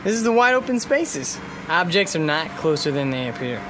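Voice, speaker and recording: An easygoing, joking husband chats breezily in a radio drama. His voice sits a little high, with clear car noise behind it. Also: very animated, normal speed. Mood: breezy, reassuring. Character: easygoing joking husband driving through the desert.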